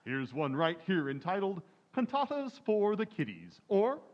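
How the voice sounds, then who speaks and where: An obliging, formal store clerk in an old-time radio comedy, played by a man. His fairly high voice moves up and down in a lively way. The recording sounds slightly dull. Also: unhurried. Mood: courteous, obliging. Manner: formal, deferential salesman patter. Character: polite, obliging music store salesman. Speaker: amateur actor in a community playhouse radio recreation.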